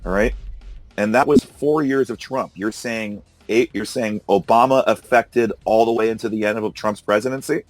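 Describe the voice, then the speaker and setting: A hoarse man hosting a casual political discussion, arguing and incredulous. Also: lowish voice, moderate pace, no room sound, soft background music. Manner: argumentative, incredulous, laughs often. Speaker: podcast host. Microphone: call recorder.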